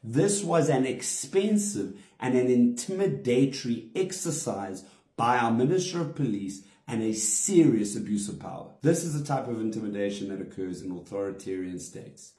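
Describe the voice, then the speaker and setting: A comedian speaks straight to camera, indignant and emphatic, and he hits single words hard. His voice has a mellow, dark tone. The recording has a noticeably roomy echo. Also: mid-range voice. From South Africa. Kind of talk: direct-to-camera statement.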